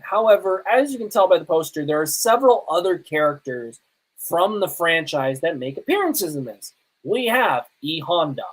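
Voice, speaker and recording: A man hosting a podcast, reviewing movies casually in an emphatic, halting way with pauses for effect. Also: fairly high pitch, rough recording. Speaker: podcast host.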